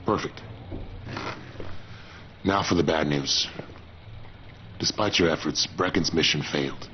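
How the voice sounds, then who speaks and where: An actor voicing a gruff, confident survivor who gives blunt, wry instructions in a video game. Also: male voice, rumbling low, a little slow. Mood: grave, businesslike. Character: gruff, confident man, trap-setting survivor handler. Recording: dull, noisy game audio with engine ambience.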